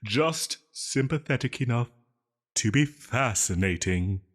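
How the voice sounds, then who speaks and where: A man's voice: medium pitch, lively intonation, slow pace. A man playing an obsessive, flirtatious supervillain in an audio roleplay, theatrical and mock-polite, needling the listener with playful menace. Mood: smug.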